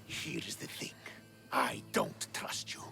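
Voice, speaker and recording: An actor playing a bitter, proud young Spartan commander in a video game, speaking with intense, accusing menace. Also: male voice, slow, music in the background, close to an everyday voice. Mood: cold menace. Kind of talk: dramatic video game acting.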